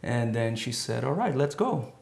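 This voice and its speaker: A man's voice: medium pitch, sing-song, normal speed, vocal fry, a little mellow. A man from the Netherlands, a talk show guest, explaining things in a friendly, storytelling way. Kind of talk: casual chat. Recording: echoey studio.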